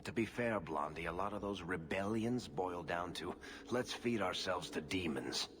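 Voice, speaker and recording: A man's voice: deep voice, moderate pace, close to an everyday voice. A man playing a wry, laid-back, wisecracking adventurer in a fantasy video game, with casual delivery. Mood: wry, teasing. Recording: game capture with clear background noise.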